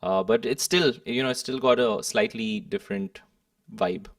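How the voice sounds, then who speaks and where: Indian man with lively, creaky voice. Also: medium pitch, moderate pace, studio quality. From Kolkata, India. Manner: discursive, thoughtful, peppered with "like" and "you know". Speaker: musician being interviewed. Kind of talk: casual reflective interview talk. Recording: roomy, slightly distant microphone.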